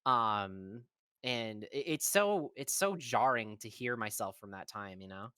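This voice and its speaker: A young adult guest in a casual podcast chat, talking in a relaxed, rambling way with lots of fillers. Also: medium pitch, a little slow, smooth, dry recording, clean studio sound.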